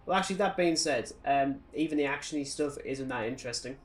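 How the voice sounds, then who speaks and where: A man's voice: medium pitch, quick pace. A British man co-hosting a podcast, chatting casually about films in an opinionated, sardonic, ranting way. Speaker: podcast co-host. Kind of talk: casual film review chat.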